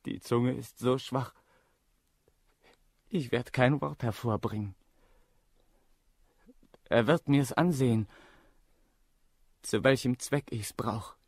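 Slow, husky, grief-stricken servant. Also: male voice, medium pitch, no room sound, studio quality. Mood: anguished, bitter. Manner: slow, brooding, anguished. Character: trembling, wronged servant, grief-stricken and bitter. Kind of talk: German radio drama monologue.

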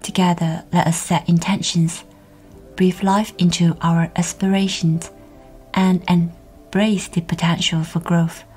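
A woman with a slightly low voice leads a guided meditation very slowly. Her delivery is soft and breathy, often close to a whisper, and soothingly measured. Music plays behind her. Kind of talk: guided meditation, scripted narration. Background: background music.

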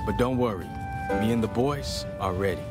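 A man's voice: on the low side, normal speed, natural voice. A man playing a friendly, upbeat young American soldier reading his letter home as an informal game voice-over. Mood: upbeat, fond. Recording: loud percussive music behind the voice. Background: loud music with drums.

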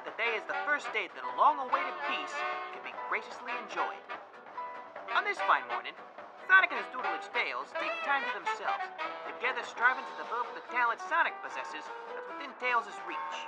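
High-pitched, hugely animated male narrator. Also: brisk, rough recording. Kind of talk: dramatic scripted narration. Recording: loud music behind the voice.

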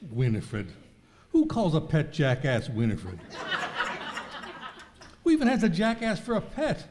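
A man's voice: a little high, huge pitch swings, measured pace, full character voice. A man playing a wry, grumbling stranded tourist in a radio skit. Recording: echoey hall with noise.

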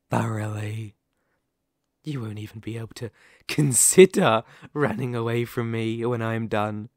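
Man acting a possessive werewolf captor, ASMR roleplay, medium pitch, unhurried menace, condescending, deliberate. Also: dry recording, clean studio sound. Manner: slow, deliberate, condescending, threatening.

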